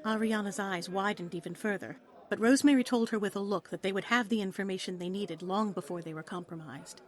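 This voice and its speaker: A woman's voice: medium pitch, brisk. A woman reading scripted story narration for an audio play in a dramatic storytelling style.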